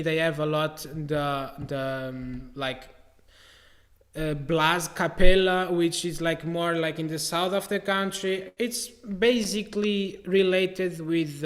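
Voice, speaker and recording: A man from Portugal with a Portuguese accent, teaching an online trombone masterclass in a relaxed, halting way. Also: a little high, echoey room. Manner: relaxed, halting, sings out note syllables to demonstrate. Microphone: video call mic.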